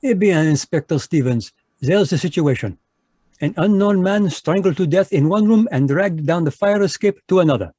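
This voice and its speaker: An actor playing a fussy, precise Belgian detective in a 1940s-style radio play, with a put-on French accent, courtly and lecturing, dropping French words. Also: male voice, sits high, animated, moderate pace, mellow, no echo. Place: home, live video call.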